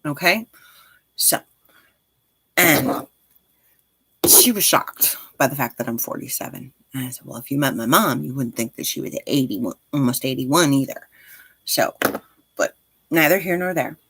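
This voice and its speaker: A woman's voice: a bass voice, expressive, unhurried, long pauses, fairly bright tone, breathy edge, dry recording. A woman in her forties chatting casually on a livestream as host, speaking directly to listeners in an encouraging, reassuring way. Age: 40s.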